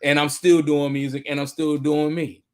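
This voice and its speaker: A man's voice: on the high side, level tone, moderate pace. An American man around thirty hosting a casual podcast, talking in an emphatic, slangy, conversational way. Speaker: podcast host and musician.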